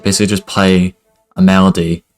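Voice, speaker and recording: Young man, deep voice, monotone, casual tutorial, filler-heavy, home studio. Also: brisk, faint music behind. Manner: relaxed, many fillers like "kind of" and "basically". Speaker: music production tutor. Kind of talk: casual screen-recorded tutorial.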